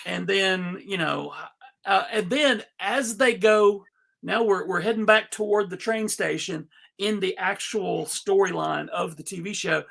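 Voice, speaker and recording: A man presenting an informal live script reading, halting and amused, with frequent asides. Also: high-pitched, gravelly, a bit bright. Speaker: panel reader and presenter.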